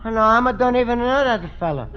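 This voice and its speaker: An actor playing a naive, anxious, gentle Italian immigrant, speaking hesitant broken English with an Italian accent in a radio comedy. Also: male voice, very high-pitched, lively intonation, fairly quick. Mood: innocent confusion. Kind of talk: 1950s radio comedy acting. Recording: dull, faintly noisy old radio recording.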